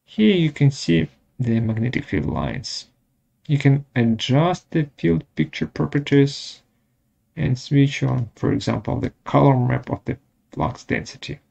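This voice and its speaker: A man presenting a step-by-step software tutorial in short, measured, halting phrases. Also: medium pitch, lively intonation, gravelly, breathy edge. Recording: dull lo-fi audio.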